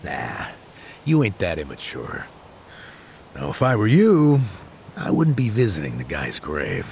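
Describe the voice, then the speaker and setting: Gravelly, needling old detective. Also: male voice, on the low side, huge pitch swings, a little slow, darkish tone, slight background noise, heavily acted. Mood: skeptical, mocking. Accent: American. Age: older, near retirement. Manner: wry, nosy, drawling needling. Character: needling, unhurried old male detective tailing an ex-con. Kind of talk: English video game dub acting.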